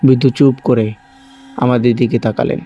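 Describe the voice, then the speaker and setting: Dark-voiced man narrating horror. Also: medium pitch, steady tone, moderate pace, dull audio. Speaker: channel host and horror story narrator. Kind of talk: scripted first-person horror story narration. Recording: faint music bed under narration. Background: faint music.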